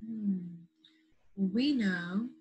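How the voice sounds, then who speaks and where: A woman teacher leading an interactive lesson for young children after a read-aloud, in simple, patient phrases with questions and drawn-out key words. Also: mid-range voice, mellow, breathy edge, highs cut off. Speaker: primary school teacher.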